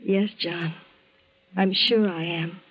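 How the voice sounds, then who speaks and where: An actress playing a sweet, devoted young woman in love, soft and breathy, in a 1940s radio melodrama. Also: lowish voice, takes it slowly. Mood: quiet, yearning. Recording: dull, lo-fi 1940s radio recording.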